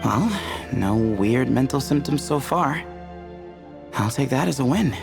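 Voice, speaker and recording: A man's voice: medium pitch, expressive, unhurried, creaky. An actor playing an easygoing, playful young male explorer in a video game, with a breezy, wry delivery. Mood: relaxed. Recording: music behind the voice.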